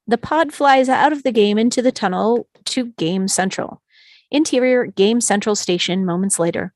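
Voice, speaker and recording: A woman reading scripted stage directions as narrator at an animated film table read. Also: mid-range voice, moderate pace, no echo.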